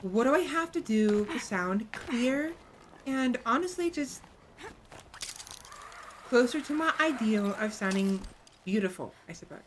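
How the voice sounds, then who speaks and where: A woman streamer chatting casually in a soft, hesitant voice with a gravelly vocal fry, talking close to her microphone. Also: fairly high pitch, expressive, a little slow, long pauses, a little hiss or hum, rough recording. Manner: soft, hesitant, self-reflective, many restarts. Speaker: VTuber streamer. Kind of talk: casual chat while livestreaming a game. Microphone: close desk mic.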